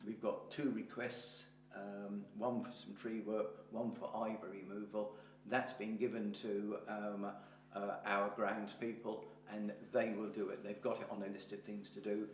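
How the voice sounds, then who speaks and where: A man chairing a parish council meeting, reporting in a halting way with many pauses and restarts. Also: on the low side, flat delivery. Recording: roomy hall with faint hum.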